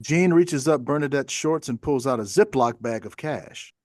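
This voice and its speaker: A man's voice: mid-range voice, normal speed, a little mellow, dry recording, studio quality. A man reading stage directions as scripted narration at a table read.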